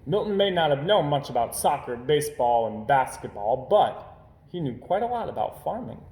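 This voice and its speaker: A man reading a children's story aloud as storyteller, with expressive narration. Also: a little high, moderate pace. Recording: roomy, echoing home recording.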